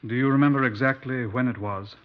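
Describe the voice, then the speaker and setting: A male actor plays a British police inspector of 1950s radio drama, brisk and dry-humoured. He speaks at a middling pitch with clipped, courteous, probing delivery. The old broadcast recording is dull and lo-fi. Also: natural voice. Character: brisk, dry-humoured Scotland Yard police inspector narrating his case.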